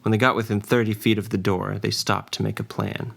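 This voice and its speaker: Low, creaky-voiced male fantasy narrator. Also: flat delivery, brisk, a bit bright. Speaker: narrator and dungeon master. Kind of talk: scripted fantasy story narration. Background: faint ambience and music.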